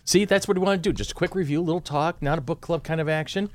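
A man in his forties hosting a casual group podcast, playful and quick to laugh as he goes round the table. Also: on the high side, no room sound. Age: 40s. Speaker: podcast host.

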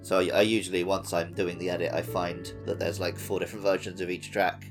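A man with a fairly low, creaky voice chats as a podcast co-host. He talks casually about a video game, with some music behind him. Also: flat delivery, fairly quick.

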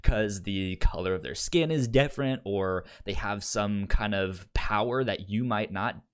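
A man co-hosting a podcast in casual discussion, speaking haltingly with stammers and repeated word starts. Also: lowish voice, clean tone. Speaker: podcast co-host reviewing an audio drama.

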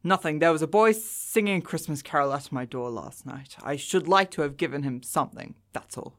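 A woman voicing a remorseful, excitable old man in a storybook reading, halting and emotional. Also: deep voice, voice pushed down. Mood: remorseful, subdued. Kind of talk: audiobook character acting.

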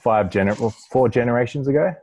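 A man's voice: mid-range voice, quick pace, a little mellow. A man with a New Zealand accent, talking casually about himself in a group conversation. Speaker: podcast participant telling own journey.

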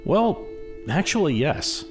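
An actor playing an eager, awkward historian in an audio drama, polite and hesitant. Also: male voice, on the high side, big pitch swings, slow, loud music behind the voice. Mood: friendly. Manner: hesitant, apologetic.

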